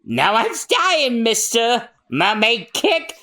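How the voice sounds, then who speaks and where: A performer voicing excitable, dramatic pirates and marines in an acted manga read-aloud, exclamatory and melodramatic. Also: mid-range voice, slow pace, voice pushed up, full character voice. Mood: excited.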